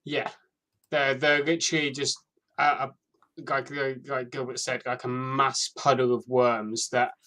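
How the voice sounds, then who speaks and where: A man's voice: medium pitch, little pitch movement, unhurried, sharp tone. Stammering, informal male podcast co-host. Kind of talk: casual pop-culture discussion.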